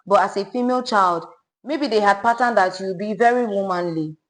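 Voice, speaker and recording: A woman's voice: mid-range voice, a little fast. Woman hosting a podcast, chatty, jumps in to finish your sentences. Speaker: podcast host. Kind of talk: podcast interview.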